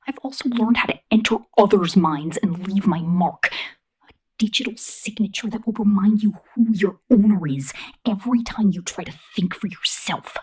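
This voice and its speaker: Woman whispering as a menacing, breathy robot girl. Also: medium pitch, normal speed, rough recording. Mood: possessive, sinister. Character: menacing, possessive young female killer robot drone, megalomaniac yet lonely. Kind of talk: ASMR roleplay, intimate whispered monologue.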